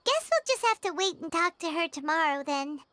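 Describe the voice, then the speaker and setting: A woman voicing a tiny, childlike, earnest female fairy companion in a video game, with a squeaky, openly expressive delivery. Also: a little fast, heavily acted. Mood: puzzled, hopeful. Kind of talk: scripted video game dialogue. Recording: music behind the voice.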